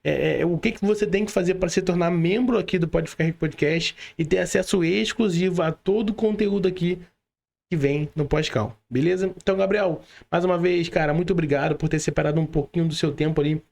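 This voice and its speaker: A man's voice: fairly high pitch, steady tone, a little fast, vocal fry, darkish tone. A Brazilian man hosting an online interview in informal, slangy speech, often adding little tag questions. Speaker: podcast host.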